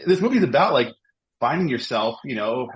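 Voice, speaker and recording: Guest, man, 50s: quick, eager movie talk, tripping over repeated words. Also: mid-range voice. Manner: energetic, enthusiastic, repeats words when excited. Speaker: podcast guest. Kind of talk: casual film podcast chat.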